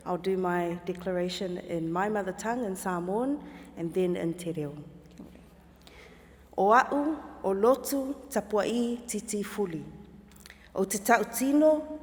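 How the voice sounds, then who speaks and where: A woman councillor reciting her formal oath of office at a measured, solemn pace in a large council chamber. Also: medium pitch. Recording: long hall reverb.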